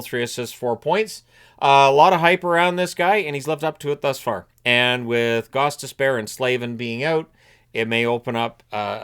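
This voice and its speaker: A man's voice: medium pitch, a bit bright. Sniffly man talking hockey with a cold. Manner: conversational, thinking aloud with fillers and restarts. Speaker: hockey commentary channel host. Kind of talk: casual solo hockey commentary.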